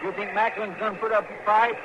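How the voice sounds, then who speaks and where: Male actor as lawman's sidekick: high voice, rural American accent, folksy, plaintive, loyal, noisy 1950s radio western. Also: flat delivery, a little fast, close to an everyday voice. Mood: reluctant, obedient. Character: folksy, reluctant but loyal deputy's sidekick, man. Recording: muffled, noisy old radio recording.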